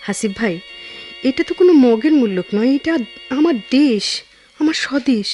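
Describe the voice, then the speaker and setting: A woman's voice: a little high, moderate pace, soft background music, close to an everyday voice. An actress playing a spirited, idealistic young woman in a Bengali radio drama, speaking expressively with a Bangladeshi accent. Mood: defiant, passionate. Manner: earnest, resolute, expressive.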